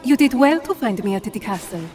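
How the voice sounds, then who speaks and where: Woman acting stern, higher-voiced partisan leader. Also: lively intonation, fairly quick, darkish tone, a little hiss or hum, lightly acted. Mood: stern, testing. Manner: firm, challenging. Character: stern, commanding female wartime partisan leader. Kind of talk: video game cutscene acting.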